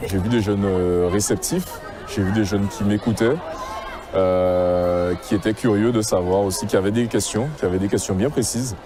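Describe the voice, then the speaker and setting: A man from Guadeloupe answers interview questions outdoors, halting often and restarting his sentences. His voice sits fairly low. A crowd murmurs behind him. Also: steady tone, music in the background. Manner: halting, many fillers and restarts. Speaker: athlete interviewed. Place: outdoors at a school.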